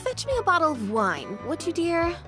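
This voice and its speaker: A woman's voice: extremely high pitch, big pitch swings, normal speed, music in the background. A woman voicing an indulgent, pleased holidaymaker in a video game, with a leisurely manner. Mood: delighted. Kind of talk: video game character acting.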